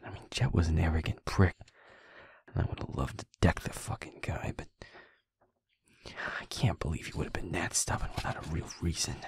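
A man playing a feisty, shaken young werewolf boyfriend in an intimate audio roleplay, husky and halting, muttering in a half-whisper. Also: deep voice, dry recording, heavily acted. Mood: tense, searching. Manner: halting, muttering, blunt swearing.

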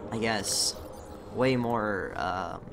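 A young man commentating his own gameplay in a casual, chatty way, muttering to himself, his voice slightly rough from a recent illness. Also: fairly low pitch, very slow, noisy background. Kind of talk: casual let's-play commentary.

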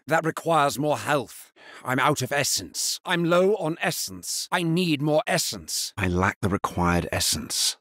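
An actor playing a grave, solemn necromancer hero in a fantasy video game, speaking in a measured, formal manner. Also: male voice, medium pitch, fairly bright tone, dry recording, studio quality. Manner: measured, somber, formal delivery. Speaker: video game player character. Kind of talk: scripted fantasy game voice lines.

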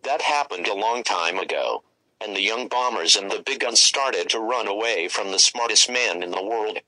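A man's voice: on the low side, normal speed, pushed and bright, low-quality recording. A man delivering scripted, ominous emergency broadcast announcements in the role of an alert announcer.

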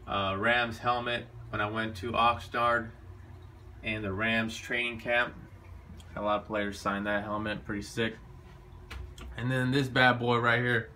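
A man shows off his bedroom in a slangy, boastful, laid-back way. His voice is bright and forceful, recorded on a handheld phone. A faint room noise hums behind him. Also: mid-range voice. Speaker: vlogger giving a room tour. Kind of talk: casual filmed room tour. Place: small bedroom at home, indoors. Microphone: handheld phone camera.

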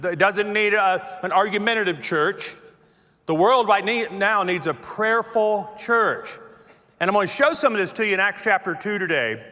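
A man's voice: sits high, animated, moderate pace, bright, forceful tone. A middle-aged man preaching a sermon as pastor on a large church stage, chatty and joking. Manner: chatty and joking, laughing at his own jokes. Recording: reverberant church auditorium.

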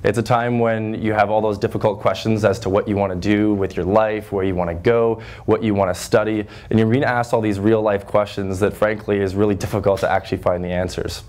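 Loud young man speaking to students. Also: on the low side, a little fast, few pauses. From Northern Ontario, Canada. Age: 20s. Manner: energetic, earnest, many "uh" fillers and restarts. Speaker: guest speaker. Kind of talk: motivational school talk. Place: high school classroom with chalkboards, audience seated.

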